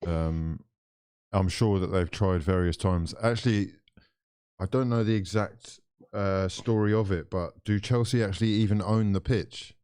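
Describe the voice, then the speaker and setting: A man's voice: deep voice, measured pace, darkish tone, smooth, no room sound, studio quality. A man hosting a casual football live stream, chatting loosely and reading viewer comments aloud.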